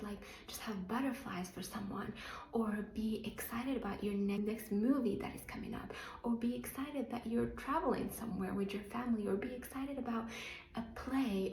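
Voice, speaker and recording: A woman's voice: medium pitch, vocal fry, warm tone, echoey room, low-quality recording. A woman from Morocco giving a casual, earnest motivational talk as a coach, often leaning on "like" as a filler. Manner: earnest, heavy use of "like" fillers.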